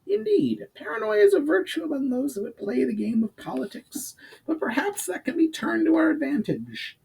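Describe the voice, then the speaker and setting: A man's voice: very high voice, very animated, moderate pace, gravelly, darkish tone, voice pushed up, heavily acted, poor audio. A man voicing a shrewd, scheming goblin strategist with an eager, calculating manner and formal diction, in a storybook reading.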